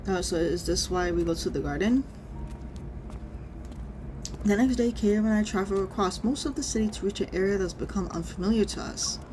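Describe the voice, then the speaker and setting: A woman streamer reading a game story aloud and reacting casually, in an expressive manner. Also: medium pitch, brisk, noisy background.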